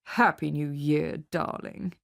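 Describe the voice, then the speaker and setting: A woman voicing a smooth-tongued, deceitful man, an ingratiating and evasive lover in a radio drama. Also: low voice, steady tone, takes it slowly, no room sound, clean studio sound, pitched below the performer's own voice, exaggerated voice. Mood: smooth murmuring.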